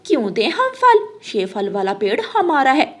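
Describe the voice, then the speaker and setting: Bossy, conniving cartoon crow wife played by a woman; lively swings in tone, demanding and sly. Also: high voice, unhurried, full character voice. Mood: haughty, dismissive. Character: scheming, greedy, bossy female crow. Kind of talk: Hindi cartoon story voice acting.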